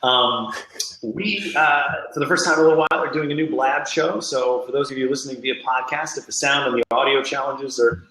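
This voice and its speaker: Male podcast co-host, medium pitch, playful and theatrical, recorded with noticeable room echo. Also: fairly quick, pushed and bright. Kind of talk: upbeat podcast introduction. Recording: roomy, echoing online call.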